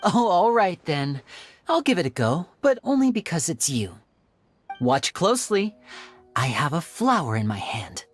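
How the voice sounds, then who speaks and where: An actor voicing a young male stage magician in a video game, gentle, polished and guarded, with a theatrical manner. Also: mid-range voice, big pitch swings, unhurried. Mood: playful showmanship. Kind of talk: video game character acting. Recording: faint music behind the voice.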